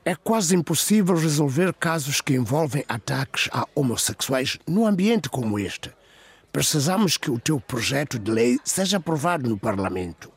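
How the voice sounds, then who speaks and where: Radio drama: serious, insistent male inspector, acted by a man, rough husky voice, pressing delivery, dry recording. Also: fairly high pitch, very animated, moderate pace, no echo, voice pushed up, heavily acted. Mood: urgent.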